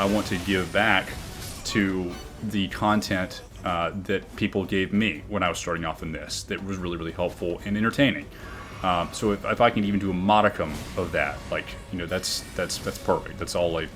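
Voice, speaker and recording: A man with a booming voice talking earnestly about his gaming channel, often reflective, with frequent fillers and restarts. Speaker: gaming YouTuber. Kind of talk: game commentary and channel talk.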